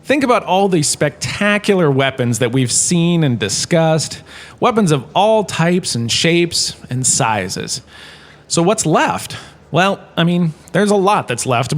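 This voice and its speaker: Male, higher-pitched: actor as pompous, rambling has-been star lecturing in a comic sci-fi audio drama. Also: animated, a little slow. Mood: chatty, self-satisfied. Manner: theatrical, self-important, rambling. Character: vain, bumbling washed-up holodrama star turned history lecturer.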